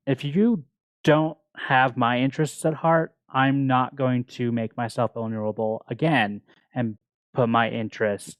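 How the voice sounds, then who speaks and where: A man's voice: mid-range voice, no room sound. A man co-hosting a book club podcast, talking casually and explaining his thoughts in a reflective way.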